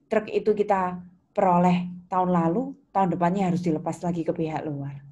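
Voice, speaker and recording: A woman's voice: on the low side, steady tone, a little fast, warm tone, muffled recording. A woman with an Indonesian accent lecturing informally to students, explaining conversationally and checking understanding with questions. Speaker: accounting lecturer.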